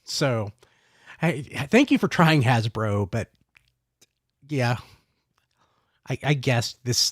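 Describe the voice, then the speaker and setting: A man's voice: medium pitch, dry recording, studio quality. A man hosting a casual toy podcast while sick, stuffy and a little congested, rambling in a chatty way. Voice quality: stuffy nose. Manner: lively, rambling, self-deprecating. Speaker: podcast host. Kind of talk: casual roundtable chat.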